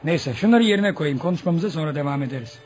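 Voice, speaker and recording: Man acting a pompous, high-pitched boastful father. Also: animated, fast talker, music in the background, lightly acted. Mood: smug, boastful. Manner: pompous, theatrical. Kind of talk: Turkish radio theatre acting. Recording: noisy old radio drama recording.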